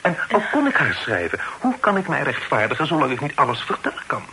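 An actor playing an earnest, troubled young gentleman in love, speaking in a hesitant, plaintive way in a period radio play. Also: medium pitch, huge pitch swings, fast, full character voice, poor audio. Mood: exasperated, plaintive. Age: young adult. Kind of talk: radio drama acting, period dialogue. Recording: hissy old radio play recording.

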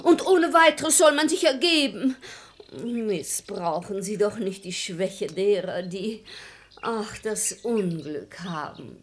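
An actress playing a clever married lady who feigns reluctance, speaking verse in a coy, measured, theatrical way in a German radio play. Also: mid-range voice, animated, slight background noise. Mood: coy, flustered.

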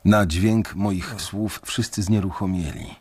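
A man's voice: low voice, slow pace, a little mellow, breathy edge, heavily acted. A young man narrating in the first person as a wary bank analyst, speaking in a restrained way in a radio drama.

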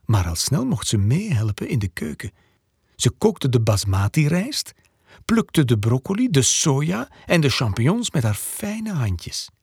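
A man narrating a children's radio play as a storyteller, playful and expressive. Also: medium pitch, a little slow, creaky, breathy edge, no echo.

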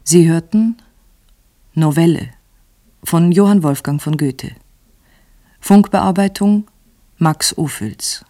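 A woman radio announcer formally reading out credits in a measured way. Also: low voice, mellow. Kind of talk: formal read cast credits.

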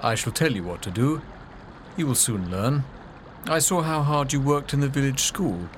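A man's voice: mid-range voice, animated, moderate pace, close to an everyday voice. A man playing a cold, stern, dutiful man in an audiobook, commanding and insistent, with faint noise behind him. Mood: firm, instructing. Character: cold, stern, dutiful clergyman demanding marriage.

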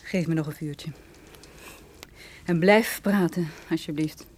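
Een vrouw die in een hoorspel een koele, amorele bendeleidster speelt, verleidelijk en hard. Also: female voice, sits low, slow, a little mellow, slight background noise. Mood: cool, seductive. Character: cool, amoral woman, secret leader of a drug gang. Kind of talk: radio drama acting.

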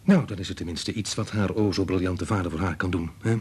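A stern, critical scientist father in a radio drama. His voice is low and husky, and he lectures with short-tempered exasperation, as if nobody can keep up with him. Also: a little fast, darkish tone. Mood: tense, arguing. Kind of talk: Dutch radio play acting.

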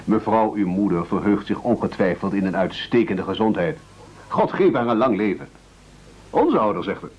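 Bombastic castaway boss, low husky voice, wheedling radio-play orator with wronged-orphan grievance. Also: male voice, expressive, normal speed, darkish tone, dull audio. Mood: mock sorrowful. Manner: bombastic, oratorical, self-pitying. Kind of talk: theatrical radio play acting.

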